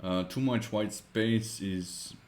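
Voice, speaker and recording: A man with a Dutch accent, a Dutch native speaker, narrating a screen-recorded web design tutorial, thinking aloud with many pauses and fillers. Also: on the low side, darkish tone, slight background noise.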